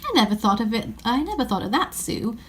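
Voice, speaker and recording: Woman as earnest schoolboy, higher voice, emphatic old-fashioned phrasing, fair-minded, children's storybook hero. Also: big pitch swings, fairly quick, a bit bright.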